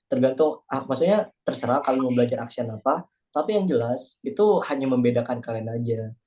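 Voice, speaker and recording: A man's voice: medium pitch, warm tone. A young man with an Indonesian accent chatting in a casual online class discussion, joking and self-deprecating. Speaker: class participant. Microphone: video call.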